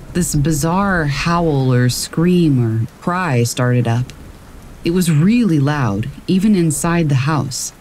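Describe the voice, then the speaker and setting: A woman calmly reading true stories aloud as a narrator. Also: sits low, poor audio. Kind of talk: calm scripted narration. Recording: faint rain noise behind. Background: rain.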